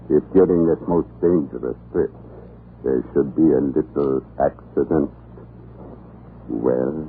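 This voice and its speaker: An actor playing a cruel, scheming prison-island commandant in an old radio drama, gravelly, deliberate and menacing. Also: male voice. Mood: sly menace. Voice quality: very deep, gravelly. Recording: muffled, noisy old radio recording.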